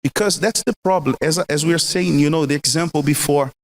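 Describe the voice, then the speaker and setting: A man's voice: a little high, a little fast, long reverb tail. A man from Brazil with a Brazilian accent, a native Portuguese speaker, giving emphatic marriage advice and asking the listener rhetorical questions. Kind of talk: recorded motivational talk.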